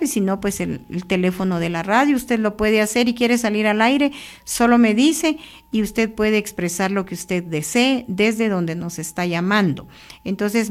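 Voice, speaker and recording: A Guatemalan woman hosting a church radio program, greeting listeners and reflecting on scripture in a steady, unhurried pastoral way from a radio studio booth. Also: medium pitch. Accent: Guatemalan Spanish.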